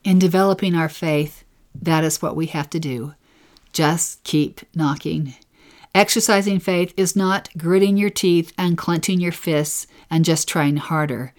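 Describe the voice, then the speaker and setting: Gentle woman teaching about faith. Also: lowish voice. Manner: earnest, gentle, lingers on key phrases. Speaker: scripture lesson co-teacher. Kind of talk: devotional teaching.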